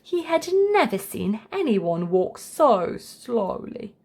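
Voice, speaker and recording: A woman's voice: mid-range voice, big pitch swings, slow, darkish tone, slightly rough. A woman reading a scripted children's story aloud as narrator.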